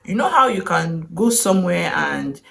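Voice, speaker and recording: Chatty Nigerian woman vlogging, low-pitched. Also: big pitch swings, normal speed, creaky, fairly bright tone. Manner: chatty, wondering aloud, with short laughs. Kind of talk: casual travel vlog.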